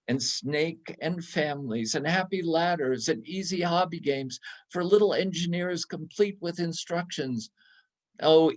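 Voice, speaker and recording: A man giving a dramatic storybook reading with measured, deliberate and expressive phrasing. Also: fairly high pitch. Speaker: reader in a readers theater.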